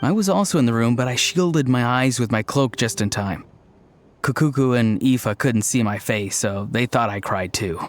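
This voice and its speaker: A man voicing a quiet young man with a flat, deadpan delivery in a video game. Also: medium pitch, moderate pace, faint music behind, close to an everyday voice.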